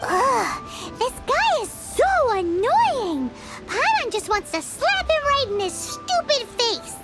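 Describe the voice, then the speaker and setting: A woman voicing a tiny childlike fairy companion in a video game, squeaky, bossy and whiny. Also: very animated, slow pace, exaggerated voice. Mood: annoyed. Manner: whiny, indignant, third-person self-reference. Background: game music.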